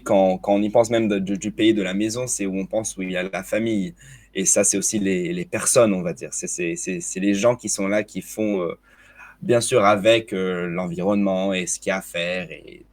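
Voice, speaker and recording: Hesitant young man, slight foreign accent. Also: deep voice. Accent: slight hard-to-place foreign accent in French. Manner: hesitant, many restarts and repeated words. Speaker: guest. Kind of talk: casual discussion.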